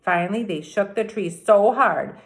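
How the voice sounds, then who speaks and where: A woman's voice: mid-range voice, moderate pace. A woman in her fifties, a teacher reading a storybook aloud to children, dramatic, with explanatory asides. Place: small room at home, by a window.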